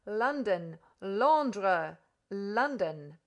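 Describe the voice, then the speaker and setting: A woman's voice: medium pitch, lively intonation. A woman playing a pompous condescending Englishman. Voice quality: pompous, slow, over-explaining. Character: pompous condescending middle-aged Englishman host.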